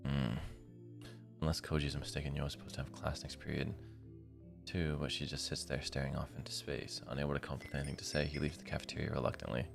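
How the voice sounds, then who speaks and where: A man live streaming, reading a visual novel aloud in a deep, gravelly voice, with casual, slangy commentary. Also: little pitch movement, quick pace. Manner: slangy, informal asides. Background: music.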